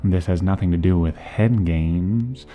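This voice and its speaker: A man acting a smug, calculating villain in an audio drama, taunting in drawn-out, halting phrases. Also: sits low, mellow, faint music behind. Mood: mock regret. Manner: hesitant, drawn-out phrasing with pauses.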